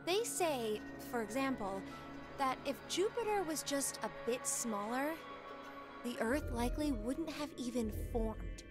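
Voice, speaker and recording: An actress voicing an earnest, cerebral young woman in a video game, deliberate and didactic, pressing with leading questions. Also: high voice, lively intonation. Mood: earnest, explanatory. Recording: music under the voice.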